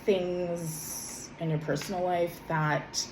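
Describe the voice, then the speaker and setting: A woman, a little hoarse from a cold, talking gently with many pauses and self-corrections, then reading her own journal. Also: fairly low pitch, flat delivery, roomy sound, noisy background. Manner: soft, hesitant, many ums and self-corrections. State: slightly hoarse from a summer cold. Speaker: vlogger.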